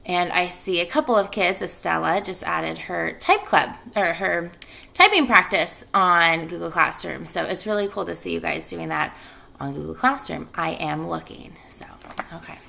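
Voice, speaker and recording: A woman's voice: on the low side, moderate pace, creaky, pushed and bright. A woman speaking as an elementary school teacher, addressing and reading to children. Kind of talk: children's read-aloud and casual class announcements.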